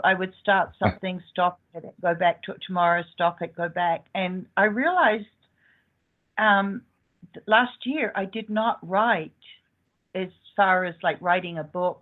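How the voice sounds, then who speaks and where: A woman calling into a show, chatting eagerly in long run-on bursts. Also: lowish voice. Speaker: caller. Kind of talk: casual chat. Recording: tinny phone line.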